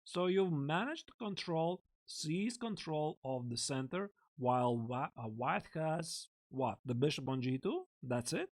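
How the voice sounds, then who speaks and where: A man's voice: on the high side, lively intonation, measured pace, few pauses. A man coaching chess on a live stream, thinking aloud and chatting casually with viewers in a close desk mic. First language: Latvian. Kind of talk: live-streamed chess lesson, explaining lines.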